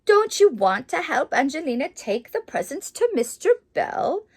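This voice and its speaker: A woman voicing a gentle, motherly mouse in a storybook, speaking matter-of-factly. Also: sits high, very animated, moderate pace. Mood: coaxing. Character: motherly adult female mouse, informative and gentle.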